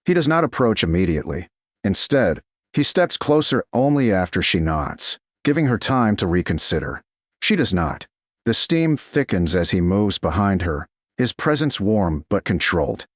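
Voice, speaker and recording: Low guy narrating a romance, lots of pitch movement, dry close sound. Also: very animated, no echo. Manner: slow, hushed, deliberate reading.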